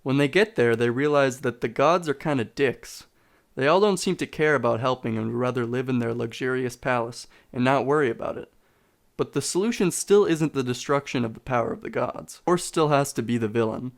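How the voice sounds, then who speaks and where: A man's voice: medium pitch, brisk, creaky. A man delivering scripted video essay commentary in an informal, sarcastic way.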